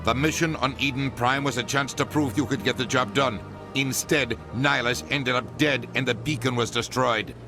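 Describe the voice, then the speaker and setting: An actor playing a stern, indignant middle-aged ambassador in a video game, curt and authoritative. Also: male voice, medium pitch, fairly even intonation, normal speed, creaky, music in the background, lightly acted.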